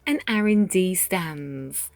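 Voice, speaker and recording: A woman reading a rhyming children's picture book aloud, with measured, dramatic phrasing and deliberate pauses. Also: fairly low pitch, lively intonation, a little mellow, dry recording. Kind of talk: expressive children's read-aloud narration in rhyme. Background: music.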